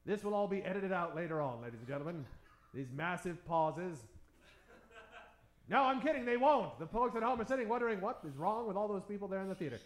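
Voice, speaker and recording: A man's voice: high-pitched, expressive, brisk. A man hosting a live improv show in mock old-time radio style, theatrical and tongue-in-cheek, addressing a theater audience. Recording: roomy, echoing theater stage.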